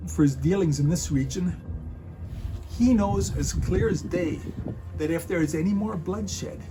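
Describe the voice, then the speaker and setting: A man's voice: high voice, measured pace, over music. A man playing a stern, loyal Roman soldier who scolds his comrade in a declamatory way, in a dramatic reading. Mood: grave, scornful. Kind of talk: dramatic reading of a church passion play.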